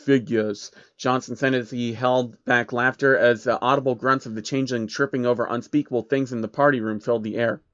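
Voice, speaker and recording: A man's voice: mid-range voice, steady tone, brisk, few pauses, fairly bright tone, no echo, muffled recording. A man reading fan fiction aloud as narrator, with casual asides.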